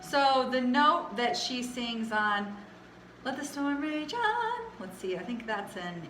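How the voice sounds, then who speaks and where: A woman teaching a vocal warm-up lesson for choir singers, chatty and casual, with a naturally low speaking voice. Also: sing-song, takes it slowly, echoey room, slight background noise, low-quality recording. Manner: chatty, demonstrates belting and singing.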